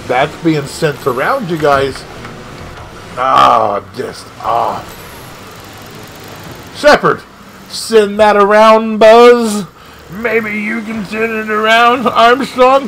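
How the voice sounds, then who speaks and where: A man streaming a video game live, giving excitable play-by-play with shouted catchphrases. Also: sits high, vocal fry, slight background noise. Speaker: gaming streamer. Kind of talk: live gameplay commentary.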